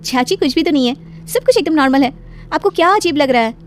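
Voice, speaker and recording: A woman's voice: high-pitched, animated, brisk, slight background noise. A woman voicing a polite, plaintive young pregnant woman in a horror cartoon story. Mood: reassuring. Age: young adult. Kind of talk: dramatic Hindi cartoon story dialogue.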